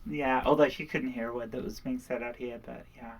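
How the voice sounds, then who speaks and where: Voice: medium pitch, fairly even intonation, a little fast. Chatty livestreamer. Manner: chatty, laughs often. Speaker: livestream host. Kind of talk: casual chat on a livestream.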